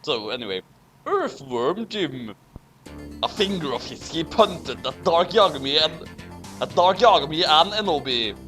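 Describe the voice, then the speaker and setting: Bright-voiced fanfic reader, unhurried and stumbling. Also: medium pitch, very animated, soft background music. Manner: stumbling over misspelled text. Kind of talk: dramatic comedic reading of a fanfic with casual asides.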